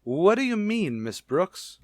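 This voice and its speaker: Shy, earnest bachelor, dry sound. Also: male voice, sits high, big pitch swings, slow pace, darkish tone, no room sound, exaggerated voice. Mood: bashful, earnest. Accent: American. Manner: hesitant, earnest, literal-minded. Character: shy, naive, earnest bachelor schoolteacher, easily flustered. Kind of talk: vintage radio sitcom acting.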